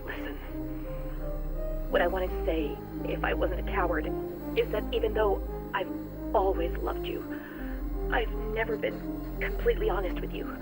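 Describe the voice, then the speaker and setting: An actress playing a guilt-ridden, distraught woman in a video game, making a halting, emotional confession on a recorded message. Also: mid-range voice. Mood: regretful. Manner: halting, weighty, emotional delivery. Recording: recorded message under music.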